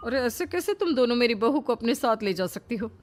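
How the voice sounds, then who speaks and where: A woman's voice: on the high side, lively intonation, a little fast, background noise, close to an everyday voice. A woman voicing a possessive, bossy middle-aged mother-in-law in a cartoon, emphatic and melodramatic. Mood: bossy, haughty. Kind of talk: Hindi moral-story cartoon dubbing.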